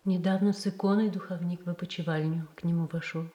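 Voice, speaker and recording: An actress playing a gentle pleading tsaritsa. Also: female voice, on the low side, fairly even intonation, highs cut off, close to an everyday voice. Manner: earnest, measured, softly persuasive. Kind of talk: 1970s Soviet radio drama.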